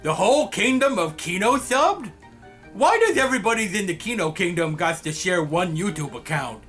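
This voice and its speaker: Blustering hurried blue character, a man puts on. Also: sits high, sing-song, fairly bright tone, faint music behind. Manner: rushed, blustering. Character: hurried blue male character talking caveman-style.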